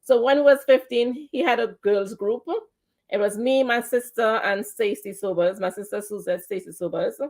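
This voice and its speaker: A woman hosting an online talk radio show, telling stories in a lively way and now and then singing lines of her own song. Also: fairly high pitch, normal speed. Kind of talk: casual radio chat with sung song excerpts.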